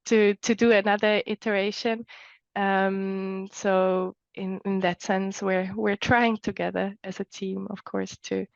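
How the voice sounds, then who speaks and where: A woman's voice: mid-range voice, vocal fry, dry recording, muffled recording. A woman from Switzerland, a timber engineer talking as an interview guest, thoughtful and restarting small phrases as she goes. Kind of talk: interview conversation.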